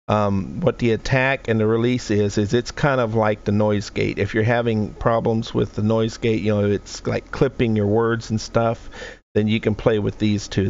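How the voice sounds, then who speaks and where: A man's voice: lowish voice, darkish tone, muffled recording. A man streaming from home, casually walking through his audio settings at his desk microphone, talking them through aloud with frequent restarts. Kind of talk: casual screen-share tutorial.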